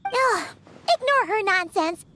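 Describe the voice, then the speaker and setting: A woman voicing a tiny, chatty, excitable childlike female companion with a squeaky voice in a video game. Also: slow pace, slight background noise, full character voice. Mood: exasperated, cheeky. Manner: refers to self in third person.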